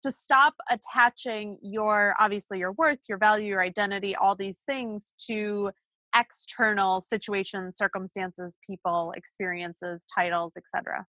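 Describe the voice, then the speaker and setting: A woman co-hosting a podcast in casual chat, succinct and quick to laugh, recorded in a small closet. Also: medium pitch, fairly even intonation, pushed and bright, dry recording. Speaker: podcast co-host.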